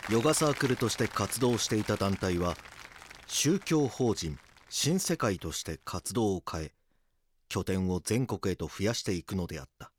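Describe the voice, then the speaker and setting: A man reading scripted documentary drama narration in a solemn, measured manner. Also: lowish voice, expressive, slight background noise, lightly acted.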